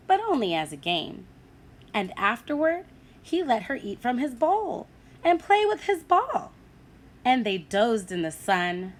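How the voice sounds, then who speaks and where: A woman teacher reading a picture book aloud to children, animated and asking her listeners questions. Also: a little high.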